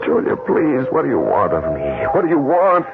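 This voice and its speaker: Guilty wife killer in old radio drama, creaky low voice, stammery and pleading, thin phone-like sound. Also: male voice, wildly swooping pitch, fairly quick. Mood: anguished, pleading. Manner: stammering, swinging from muttering to anguished cries. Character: guilt-ridden wife-killer, cornered, pleading, easily rattled. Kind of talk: radio mystery drama acting. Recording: tinny old radio broadcast, faint music.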